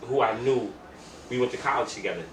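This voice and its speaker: A man's voice: medium pitch, fast talker. Noisy echoey room, distant mic, male podcast co-host, emphatic, slangy, repetitive phrasing, casual storytelling.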